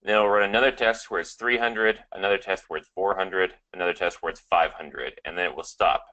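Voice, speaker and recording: Man, very deep voice, sharp edge, step-by-step explainer, lo-fi remote line. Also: fairly even intonation, brisk. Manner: explains step by step, many fillers. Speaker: webinar co-host. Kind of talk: casual software walkthrough.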